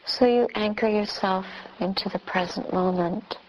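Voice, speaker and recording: A woman who is a Buddhist nun leading a guided meditation, speaking in short phrases with long pauses. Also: mid-range voice, fairly even intonation, darkish tone, dull audio, a little hiss or hum.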